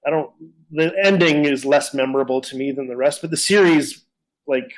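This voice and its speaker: A man's voice: medium pitch, normal speed, rough recording. A man co-hosting a podcast, talking casually in a rambling, opinionated way. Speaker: podcast co-host. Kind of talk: casual conversational discussion.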